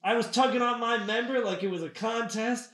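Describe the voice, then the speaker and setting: A man's voice: very high voice, fairly quick, a bit bright, roomy sound, voice pushed up. A man playing an earnest, sheepish patient in a comedy sketch, speaking with mock seriousness. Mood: earnest, grave. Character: earnest, sheepish male patient making an absurd confession.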